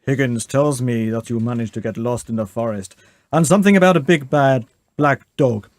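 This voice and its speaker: A man's voice: medium pitch, normal speed, no echo, close to an everyday voice. A man playing a pompous, courteous yet menacing vampire count in an amateur radio play. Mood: grandly hospitable. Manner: pompous, theatrical welcome.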